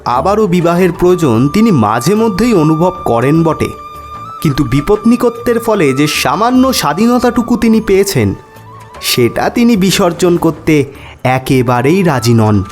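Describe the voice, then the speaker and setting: A man narrating an audio story as its host, in a measured, dramatic and expressive storytelling manner. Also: on the high side. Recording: background music under voice.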